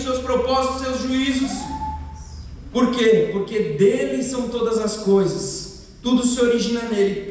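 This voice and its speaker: A man from the interior of São Paulo state with a Brazilian accent, preaching an expository sermon and building to emphatic proclamations. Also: very high voice. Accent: Brazilian Portuguese, São Paulo interior. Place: church hall. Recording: far-off mic in an echoey church hall with faint noise.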